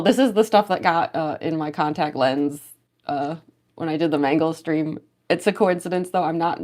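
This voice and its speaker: A young woman with a slightly low voice talks casually on a livestream while she does her makeup. She rambles along with plenty of fillers and quick little laughs. She sounds a bit stuffy and sniffly, still getting over a cold. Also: a little mellow. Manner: rambling, many fillers, laughs often, reads chat aloud. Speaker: cosplay livestreamer.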